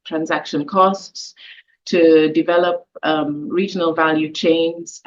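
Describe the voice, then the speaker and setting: A woman answering as a panelist in a webinar, polite and formal, speaking over a video call. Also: low voice. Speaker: panelist, trade official. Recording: dull video-call audio.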